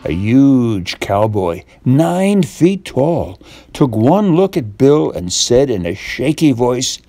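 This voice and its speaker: An older man reads a tall tale as a bedtime story, expressive and deliberate, drawing out key words. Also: mid-range voice, no room sound. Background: guitar music.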